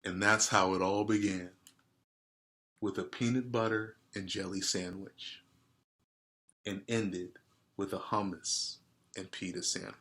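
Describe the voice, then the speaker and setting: A man reading a storybook aloud and speaking directly to his listeners in a deliberate way. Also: low-pitched, fairly even intonation, pushed and bright. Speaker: read-aloud host. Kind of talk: storybook read-aloud with casual asides to listeners.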